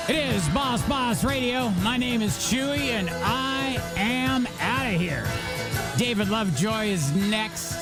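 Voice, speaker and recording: A man hosting a radio show as a DJ, with showy, playful announcer patter and music playing behind him. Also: high-pitched. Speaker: radio DJ host. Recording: music bed under the voice.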